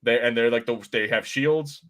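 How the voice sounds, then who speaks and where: A man hosting a casual podcast discussion, explaining things in an informal, rambling and halting way. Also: medium pitch, a bit bright. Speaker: podcast host. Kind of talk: casual pop-culture discussion, explaining game lore.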